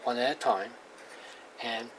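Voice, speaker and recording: Male hobbyist presenter, unhurried and plain-spoken, casual hands-on demo over clearly audible noise. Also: medium pitch. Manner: plain-spoken, halting, repeats himself. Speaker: hobbyist presenter demonstrating radio equipment. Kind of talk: casual unscripted hands-on demonstration. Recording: clearly noisy amateur recording.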